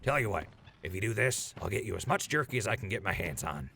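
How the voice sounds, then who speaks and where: Man acting footsore wasteland traveler, forceful and gravelly, wisecracking complainer, audio drama, faint noise behind. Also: lowish voice, sing-song, quick pace, exaggerated voice. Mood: wry, casual. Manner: sarcastic, complaining.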